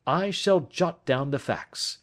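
A calm, authoritative detective from an audiobook, acted by a male narrator. The voice sits raised in pitch, with crisp, deliberate delivery. The manner is precise and gently grave. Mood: businesslike. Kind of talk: audiobook character acting.

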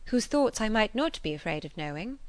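A woman's voice: medium pitch, very animated, a little fast, no room sound, pitched above the performer's own voice, natural voice. A woman reader acts a clever young lady from a classic novel. She is self-assured and playful, teasing with arch, ceremonious politeness. The sound is close and dry. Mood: careless gaiety.

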